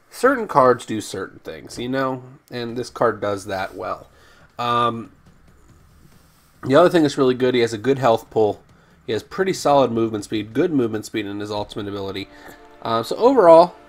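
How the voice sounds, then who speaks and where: Slightly raspy man reviewing a game. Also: fairly low pitch. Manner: rambling, frequent ums and restarts, addresses viewers as guys. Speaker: gaming YouTuber. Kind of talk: casual gameplay commentary.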